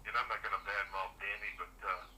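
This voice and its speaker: A man's voice: lowish voice, flat delivery, quick pace, pushed and bright. An American man speaking firmly on a phone call as a company security director, explaining his position. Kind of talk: phone conversation. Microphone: telephone call. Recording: noisy, distant telephone call recording.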